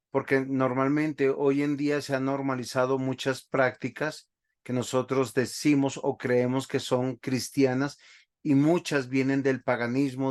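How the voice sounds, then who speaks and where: A man, a pastor teaching a Bible class over a video call, speaking slowly and stopping often to ask the class questions. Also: mid-range voice, clean tone. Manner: slow, halting, asks the class questions often.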